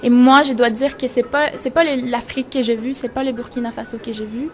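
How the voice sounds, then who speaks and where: Reflective young woman, fairly high voice. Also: fairly even intonation, fairly quick, darkish tone. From Venezuela. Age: 20s. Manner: reflective, searching for words. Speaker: photographer and journalism student. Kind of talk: interview about her photo exhibition.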